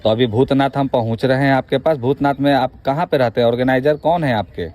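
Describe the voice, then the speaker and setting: A man's voice: medium pitch, fast, darkish tone, soft background music. A man with a Bihari accent in an informal phone conversation, asking pressing questions in a colloquial way and cutting in. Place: phone call.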